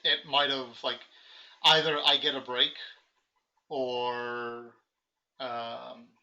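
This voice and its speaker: An American man co-hosting a card game stream, talking in a loose, rambling way full of "like" and "um". His tone is very bright and forward. The stream audio sounds a bit dull and lo-fi. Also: mid-range voice, very slow. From United States. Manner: relaxed, rambling, many fillers. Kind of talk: casual post-game chat.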